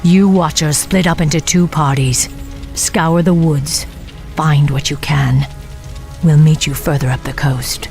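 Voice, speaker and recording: A woman's voice: low voice, steady tone, a little slow, darkish tone. A night elf warden in a fantasy game. She is stern, commanding and vengeful, speaking with grave resolve over music. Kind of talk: dramatic video game cinematic acting.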